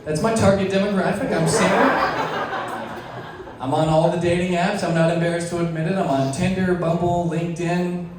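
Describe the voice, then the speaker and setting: A man's voice: high voice, steady tone, moderate pace, distant mic, very noisy. A man performing a self-deprecating, wry stand-up set on a comedy club stage. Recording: echoey club audio from afar. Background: laughing crowd.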